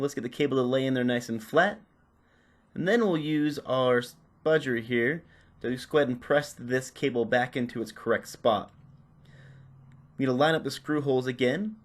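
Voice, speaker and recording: A man's voice: medium pitch, normal speed, pauses often. A man giving casual, unscripted step-by-step instruction as he works with his hands. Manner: unscripted, narrating while working. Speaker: repair tutorial presenter.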